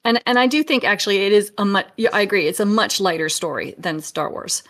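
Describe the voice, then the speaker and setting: A woman's voice: mid-range voice, lively intonation, fast talker, a bit bright. Analytical woman co-hosting a film podcast. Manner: analytical, thinks aloud while comparing stories. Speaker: podcast co-host and author. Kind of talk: casual film discussion.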